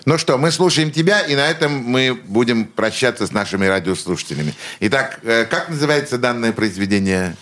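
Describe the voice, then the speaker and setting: A man's voice: mid-range voice, lively intonation, a little fast, few pauses, fairly bright tone. Courteous male radio host. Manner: courteous, formal, addresses listeners. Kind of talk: hosting a radio talk show.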